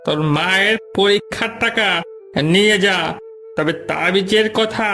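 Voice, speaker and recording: A greedy cartoon shopkeeper, acted by a man, with a high male voice over background music. He talks curtly and bosses people around with open threats. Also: unhurried, pushed and bright, heavily acted. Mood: possessive, scheming. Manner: curt, domineering, threatening. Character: greedy, bullying male fish shop owner. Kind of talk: cartoon character dialogue.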